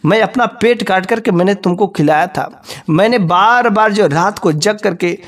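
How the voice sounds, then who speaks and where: Male narrator as a caring old mother, high-pitched, gently pleading, some distance from the mic. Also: normal speed, natural voice. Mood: hurt, pleading. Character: kind, caring, long-suffering old mother.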